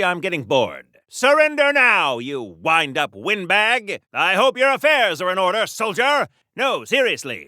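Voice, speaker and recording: An actor playing a pompous, patriotic golden-age superhero soldier in a video game, with theatrical 1940s serial delivery and old-fashioned wisecracking. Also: male voice, sits high, sharp tone, smooth, dry recording, studio quality, exaggerated voice. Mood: dry, wry, then bombastic, threatening. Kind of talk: 1940s serial hero acting, game voice lines.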